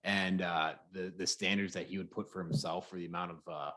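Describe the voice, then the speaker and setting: A man with a very deep voice on a casual podcast. He talks earnestly, pausing and restarting with fillers as he thinks. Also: fairly even intonation, sharp tone. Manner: earnest, halting, with many fillers. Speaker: podcast co-host. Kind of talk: casual chat.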